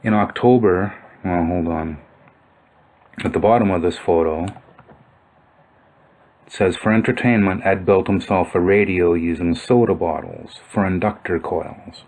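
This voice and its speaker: A man's voice: sits low, unhurried, long pauses, a little mellow. A man giving informal explanatory commentary on old photos, musing and thinking aloud as he goes. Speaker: video narrator.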